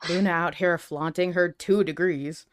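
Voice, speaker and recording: A woman's voice: on the low side, fairly even intonation, unhurried. Emphatic woman co-hosting a podcast. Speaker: podcast co-host. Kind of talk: casual reflective fan discussion.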